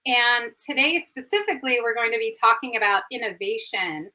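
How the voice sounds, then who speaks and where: Effusive woman host, high bright voice, coach running a live interview. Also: a little fast, rough recording. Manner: effusive, gushing praise.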